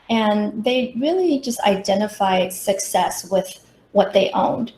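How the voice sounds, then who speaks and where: A woman's voice: mid-range voice, darkish tone. Woman presenting a workshop in an echoey room. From Taiwan. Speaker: professional organizing consultant giving a workshop. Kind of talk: prepared presentation with personal stories. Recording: roomy echo, faint background noise.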